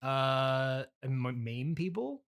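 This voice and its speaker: A man hosting a comedic interview, halting and apologetic, talking in a bemused, conversational way. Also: medium pitch, lively intonation, gravelly, fairly bright tone, clear, resonant tone. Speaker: podcast interviewer.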